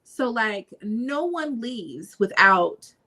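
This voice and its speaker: Chatty laughing woman, slightly high voice. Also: sing-song, extremely slow, pushed and bright. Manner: chatty, laughs often, many fillers. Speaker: podcast co-host. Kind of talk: casual podcast chat.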